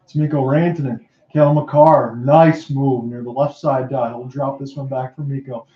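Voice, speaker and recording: A man calling hockey play-by-play, his calls rising sharply and quickly on scoring chances. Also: medium pitch. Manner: rapid excited calls that rise sharply on chances. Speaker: play-by-play announcer. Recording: dull lo-fi roomy home mic.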